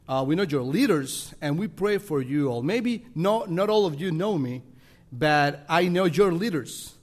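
Spanish-accented man, halting English. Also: a little high. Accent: Salvadoran Spanish. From El Salvador. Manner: halting non-native English, self-deprecating, laughing often. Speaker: missionary leader. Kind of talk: guest address to a congregation.

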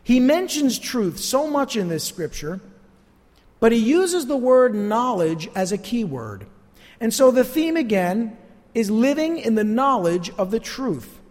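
A man's voice: very high voice, big pitch swings, unhurried, darkish tone. A male pastor teaching a sermon in an earnest, emphatic preacher's manner. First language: not Spanish. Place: church sanctuary. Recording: church hall reverb.

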